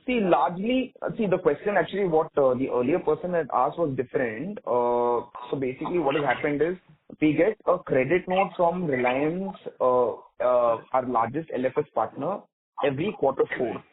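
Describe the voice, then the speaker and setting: The sound is a thin, narrow telephone conference line. On it, a man with an Indian accent and a fairly high-pitched voice answers questions as a company executive. He explains things step by step, often repeating and rephrasing himself. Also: normal speed. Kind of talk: earnings call question and answer. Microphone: telephone conference call. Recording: narrow tinny phone line.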